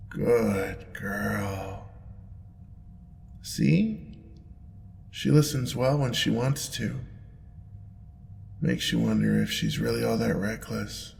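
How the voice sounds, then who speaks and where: A man playing a smug, indulgent demon lord in an audio roleplay, with a deep gravelly voice and a playful, purring, drawn-out teasing manner. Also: very animated, darkish tone, full character voice. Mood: amused, coaxing. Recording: long reverb, clear background noise.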